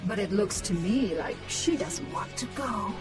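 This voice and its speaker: A woman's voice: mid-range voice, animated, measured pace, far from the mic, loud music behind the voice, close to an everyday voice, poor audio. A woman playing a cruel, smug alien queen in a video game, taunting and commanding. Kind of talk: dramatic video game acting.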